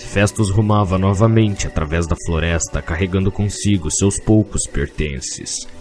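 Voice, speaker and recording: A man with a Brazilian accent narrating a scripted fantasy story in a measured, literary way. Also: deep voice, darkish tone. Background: music.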